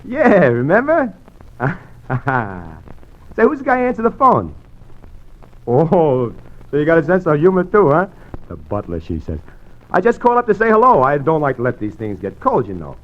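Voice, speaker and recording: A man's voice: on the high side, huge pitch swings, moderate pace, long pauses. A man acting a small-time gangster in an old-time radio crime drama, a wisecracking ladies' man with wry, street-slang talk. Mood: breezy, flirtatious. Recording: dull, noisy vintage radio recording.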